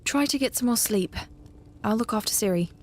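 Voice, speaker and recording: A woman playing a guarded, wistful bard in an audio drama, speaking gently and in measured phrases. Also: a little high, animated. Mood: guarded, polite.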